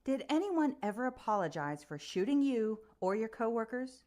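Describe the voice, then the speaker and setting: An actress playing a composed news special reporter and interviewer in a radio play, formal, measured and probing. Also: female voice, on the high side, very animated, darkish tone.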